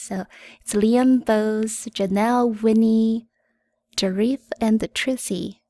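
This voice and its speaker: A woman whose first language is Mandarin, hosting a live audio Q&A, with a soft, sweet voice and slow, evenly enunciated syllables. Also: mid-range voice, dry recording. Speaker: event host.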